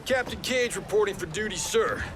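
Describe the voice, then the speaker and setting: High-pitched, wisecracking soldier dad. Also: male voice, expressive, moderate pace, music in the background. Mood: playful. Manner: cocky, wisecracking. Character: joking action-hero soldier dad in his prime. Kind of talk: light dramatic game cutscene acting.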